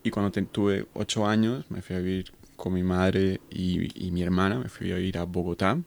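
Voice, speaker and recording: A man in his thirties from Colombia and Spain, a radio interview guest telling his story casually and haltingly, searching for words. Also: deep voice, fairly even intonation, vocal fry, a little mellow. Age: 30s. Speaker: interview guest, academic researcher.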